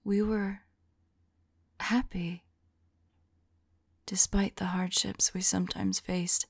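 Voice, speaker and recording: A woman playing a tender, sorrowful vampire lover in an ASMR roleplay, speaking softly and intimately in a breathy, hesitant whisper. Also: mid-range voice, flat delivery, slow, no echo. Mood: wistful, tender. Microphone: close microphone. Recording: close, dry microphone.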